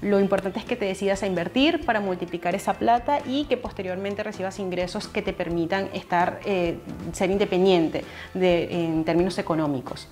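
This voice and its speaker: A woman's voice: medium pitch, normal speed, a little mellow, faint music behind. A young woman hosting a finance video, explaining steadily and speaking straight to the viewer from a couch at home on a lapel mic. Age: young adult. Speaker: finance channel host. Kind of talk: explanatory talk to camera. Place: living room, seated on a couch indoors.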